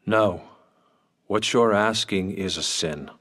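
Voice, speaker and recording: A low-voiced, firm priest. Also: male voice, fairly bright tone. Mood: grave, firm. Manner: measured, restrained. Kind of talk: radio drama acting.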